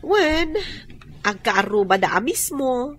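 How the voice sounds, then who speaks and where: A woman's voice: on the high side, big pitch swings, slow pace, a bit bright, slightly rough, exaggerated voice. An actress playing a gossiping female friend in a radio drama, chatty and insinuating, with an Ilocano accent. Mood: gossipy.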